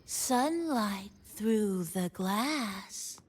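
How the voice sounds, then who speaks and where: A woman's voice: medium pitch, animated, extremely slow. A woman voicing a small, cheerful cafe mascot creature in a video game, whimsical and childlike, with a dreamy, poetic delivery. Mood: gentle, wistful. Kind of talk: game voice acting, lilting poetic narration. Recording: music under the voice.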